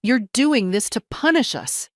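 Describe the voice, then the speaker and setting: Younger daughter-in-law, voiced by a female narrator: pitched up, indignant, scolding, controlling, audio drama. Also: sing-song, unhurried. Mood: furious.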